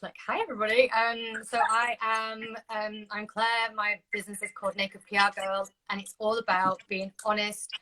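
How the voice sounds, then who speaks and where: A woman from Yorkshire with a mix of Yorkshire and London in her accent, a PR business owner chatting as a guest on a livestream in a friendly, relaxed way. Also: medium pitch, moderate pace, hardly any pauses, low-quality recording. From Yorkshire, England. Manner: friendly and relaxed, says "like" a lot. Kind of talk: casual video chat.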